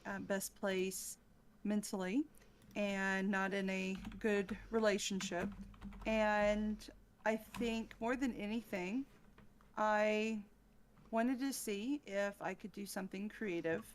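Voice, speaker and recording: A woman speaking as a podcast guest in casual chat, hesitant and halting. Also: medium pitch, steady tone, slight background noise. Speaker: podcast guest, ASMR creator.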